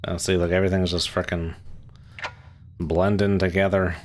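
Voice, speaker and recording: Deep-voiced young man streaming a game. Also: level tone, normal speed, darkish tone, a little hiss or hum. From Maryland, USA. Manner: casual, swears lightly, laughs often. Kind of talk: casual gameplay commentary, chatting with viewers.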